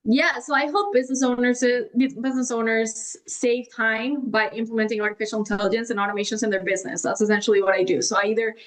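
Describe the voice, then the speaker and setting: A woman's voice: fairly high pitch, fairly bright tone, poor audio. Spanish-native woman explaining business tech. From Colombia. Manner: fluent, explanatory, frequent "right?" and "like". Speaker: guest, AI automation consultant. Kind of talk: conversational interview answers.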